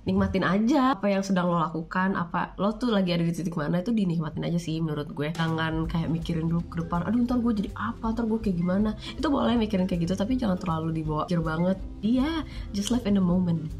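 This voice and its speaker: A woman's voice: lowish voice, fast. A young woman with an Indonesian accent telling stories in casual, slangy chat as a student broadcaster. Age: young adult. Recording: voice over loud music.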